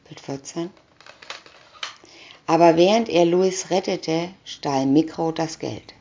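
Female storybook narrator: low-pitched, deliberate with pauses between captions, muffled lo-fi recording. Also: dark tone. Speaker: reader of picture-story booklets. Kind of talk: scripted narration of a children's comic story. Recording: dull lo-fi recording.

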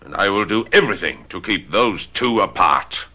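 An actor playing a brooding, bitter, tyrannical master of a gothic house in a radio drama, menacing and commanding. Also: male voice, sits low, expressive, normal speed, over loud music. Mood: angry, menacing.

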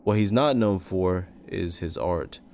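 A man reading scripted narration for a video essay in a measured, serious way. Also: sits low, a little mellow, slight background noise. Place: close-recorded voice-over with music behind.

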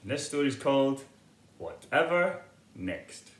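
A middle-aged man tells a children's story in an expressive, swooping voice. He takes his time over each phrase. The sound is roomy, as if recorded in a living room a little way from the mic. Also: medium pitch, measured pace. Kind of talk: children's picture-book read-aloud. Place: living room at home, indoors.

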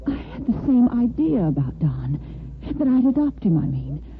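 Reserved tender-hearted single lady, American, expressive pitch swings, vintage radio soap, narrow muffled sound. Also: normal speed, a little hiss or hum. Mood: flustered confession. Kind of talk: old-time radio soap opera acting.

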